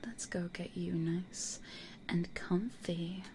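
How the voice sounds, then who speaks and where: A woman voicing a possessive, teasing female vampire in an ASMR roleplay, coaxing and domineering in breathy whispers close to the microphone. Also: on the low side, steady tone, slow, a little mellow, a little hiss or hum. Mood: playful, coaxing. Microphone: close studio condenser microphone.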